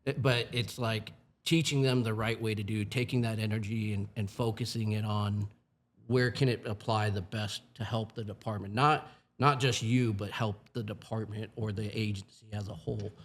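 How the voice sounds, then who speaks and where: A man in his forties with a low voice talks earnestly about his work as a fire supervisor. His speech is plain and full of asides. He sounds like a casual podcast guest. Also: steady tone, moderate pace. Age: 40s. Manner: earnest, plain-spoken, many "you know" asides. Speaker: firefighting supervisor.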